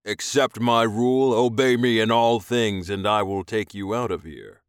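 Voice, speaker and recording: A man's voice: lowish voice, clean tone, no room sound, clean studio sound, lightly acted. A man voicing a smug, domineering alien male with a growling, commanding delivery in an audiobook story. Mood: commanding, possessive. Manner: commanding, amused, deliberate.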